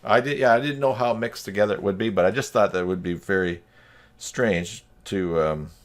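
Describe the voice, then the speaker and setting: A man's voice: on the low side, a little fast. A man chatting casually on an online video call, in a slightly hesitant, rambling way. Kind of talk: casual video call chat about web tools.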